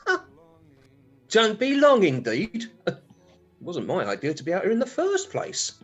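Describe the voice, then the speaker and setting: A man's voice: high-pitched, huge pitch swings, measured pace, muffled recording, faint music behind, exaggerated voice. Man playing a grumpy British husband, wildly swinging intonation. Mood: irritable. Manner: sardonic, grumbling. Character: grumpy, dismissive middle-aged British husband. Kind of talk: radio play comedy acting.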